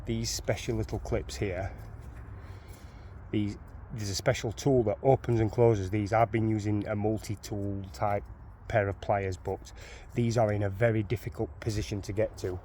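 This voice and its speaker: A man's voice: on the low side, fairly even intonation, moderate pace, mellow, a little hiss or hum. A man with a Sheffield accent, walking through a van repair in a chatty, rambling way, repeating his words as he goes, filmed on a handheld phone outdoors. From Sheffield, England. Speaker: DIY van owner. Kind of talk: casual how-to walkthrough.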